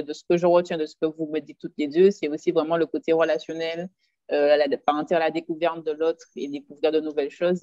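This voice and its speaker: A woman's voice: low-pitched, a little mellow, no room sound. A woman moderating an online panel in a chatty, encouraging way over a video call. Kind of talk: hosting a video-call discussion. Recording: dull, lo-fi video-call audio.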